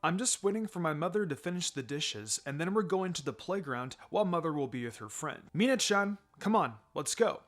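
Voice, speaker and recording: A male narrator reads fan fiction with expressive storytelling. His voice runs a little high and his intonation rises and falls a lot. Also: fairly quick, few pauses. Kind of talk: fan fiction read aloud.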